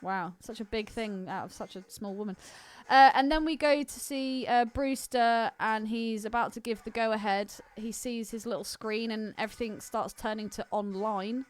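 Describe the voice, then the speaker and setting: A woman's voice: fairly high pitch, moderate pace, hardly any pauses. A British woman co-hosting a film podcast in casual chat, with a cheeky, teasing, bawdy way of talking. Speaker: podcast co-host.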